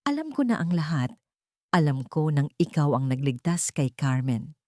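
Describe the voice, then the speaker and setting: A woman's voice: low voice, very animated, moderate pace, a little mellow, no room sound, clean studio sound. A woman voicing a powerful, wealthy man, humbled and pleading earnestly in short, broken phrases in an audiobook drama. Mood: earnest vow.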